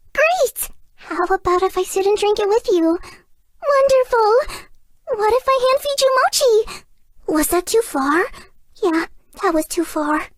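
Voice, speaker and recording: Game role, young female kitsune: tiny sky-high girlish voice, earnest, playful, easily flustered, close dry sound. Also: no room sound. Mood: cheerful, eager. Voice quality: girlish, cutesy. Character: shy, sweet, excitable young female kitsune fox spirit. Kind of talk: video game character voice lines.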